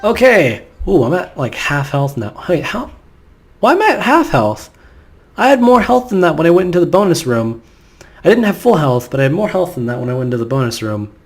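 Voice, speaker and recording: A man's voice: a little high, sing-song, fairly quick. A man giving casual, rambling, nitpicking let's play commentary. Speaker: gameplay commentator. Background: game music.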